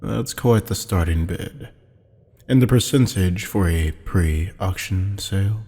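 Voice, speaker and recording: A man playing a haughty, teasing demon buyer in an ASMR roleplay drama, with a low, gravelly, husky voice and a taunting, mock-polite manner. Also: slow pace, dark tone, exaggerated voice. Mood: cool, businesslike. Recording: long hall-like reverb.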